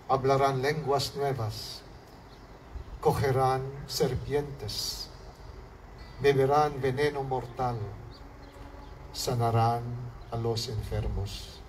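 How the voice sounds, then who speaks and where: A priest with a Filipino accent preaches very slowly and deliberately, his phrases sinking at their ends. His voice comes from some distance off the mic at an outdoor altar under a canopy, with a faint hiss of open air behind it. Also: medium pitch, fairly even intonation. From Philippines. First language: Hiligaynon. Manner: slow, measured preaching with falling phrase ends. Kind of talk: homily. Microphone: far camera, mic at lectern. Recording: distant outdoor recording with faint background noise.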